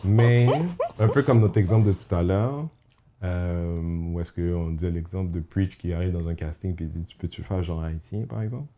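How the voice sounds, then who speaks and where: A man with a low voice and a warm, mellow tone talks in a casual podcast chat. He is reflective and halting, restarts his sentences often, and slips English phrases into what he says. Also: a little hiss or hum. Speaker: podcast host.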